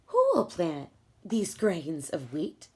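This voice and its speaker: A woman's voice: low-pitched, sing-song, takes it slowly, warm tone, breathy edge, voice pushed down, exaggerated voice. A woman voicing a hard-working, determined little red hen in a storybook, with emphatic, singsong calls. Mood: asking, hopeful.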